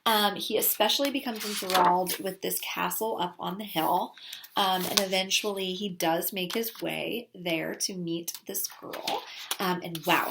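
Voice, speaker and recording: A woman casually presenting book recommendations in an enthusiastic, gushing way. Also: fairly low pitch. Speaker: children's book reviewer. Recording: clearly noisy recording with clicks.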